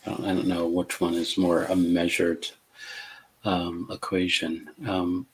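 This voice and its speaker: A middle-aged man with a fairly low voice talks slowly and calmly on a video call. He keeps his words measured and gentle, often pausing with fillers and starting over. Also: poor audio. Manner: measured, calm, with many fillers and restarts. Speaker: co-host. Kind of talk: casual conversation.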